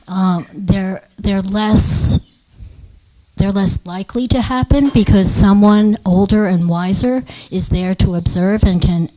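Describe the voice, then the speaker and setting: A woman with a mellow, mid-pitched voice contributes to a religious discussion. She talks informally and reflectively, with a measured, thoughtful delivery. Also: poor audio.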